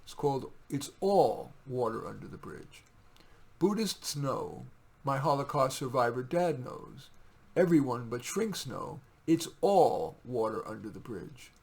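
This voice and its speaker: A man's voice: mid-range voice, big pitch swings, a little slow, gravelly, darkish tone, husky. A man reading his own poetry, theatrical and emphatic in the verse, with casual asides in between. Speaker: poet reading own work.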